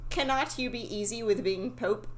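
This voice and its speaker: A woman's voice: on the high side, moderate pace, natural voice. A woman voicing a timid, henpecked fisherman husband from a fairy tale, pleading in old-fashioned phrasing. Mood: wary. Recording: faint background noise.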